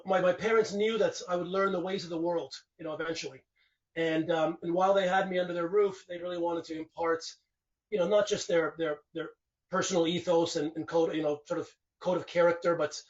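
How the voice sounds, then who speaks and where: A man in his forties, raised speaking Finnish in Canada, reflecting at home in a casual interview with rambling restarts. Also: high voice. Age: 40s. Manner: rambling and reflective, frequent restarts and "you know". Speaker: guest. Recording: distant, dull lo-fi mic.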